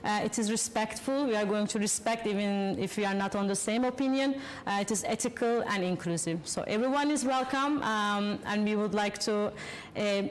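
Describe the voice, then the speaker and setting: A woman's voice: a little high, rough recording. Earnest Turkish woman presenting tech statistics. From Turkey. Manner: earnest, with frequent fillers and restarts. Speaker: panel host.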